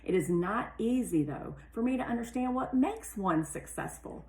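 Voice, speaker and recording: A woman's voice: on the high side, lively intonation, moderate pace, darkish tone, pitched above the performer's own voice, lightly acted. A woman narrating as a curious, earnest robot rover longing to succeed, careful and precise, in a roomy storybook recording. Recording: roomy, echoey sound.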